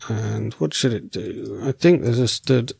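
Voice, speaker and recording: Guy coding and talking it through, gravelly and a bit hoarse, losing his voice, dry close sound. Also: mid-range voice, measured pace, no room sound. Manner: relaxed, self-correcting, wry asides. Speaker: programming tutor. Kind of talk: thinking aloud while live coding.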